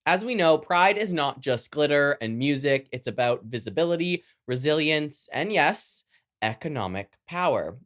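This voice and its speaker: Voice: mid-range voice, lively intonation, moderate pace, fairly bright tone. A podcast host with a Canadian accent reading a scripted news round-up with chatty, campy, playful asides. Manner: sassy, playful.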